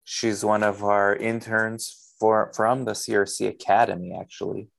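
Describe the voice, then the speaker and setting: Chatty man hosting, creaky voice. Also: fairly low pitch, level tone, normal speed. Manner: informal, chatty. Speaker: podcast host. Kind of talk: casual interview chat.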